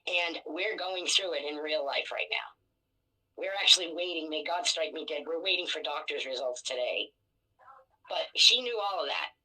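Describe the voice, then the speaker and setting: A woman with a raspy voice venting casually in a blunt, profane, self-deprecating way. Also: low-pitched, moderate pace, bright, forceful tone, rough recording.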